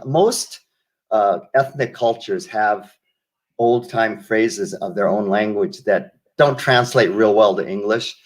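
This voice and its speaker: An older man from the United States speaks in a lowish voice as an interview guest. He tells his stories slowly and reflectively, doubling back and restarting his sentences. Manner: reflective storyteller, many restarts and asides. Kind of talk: casual interview conversation.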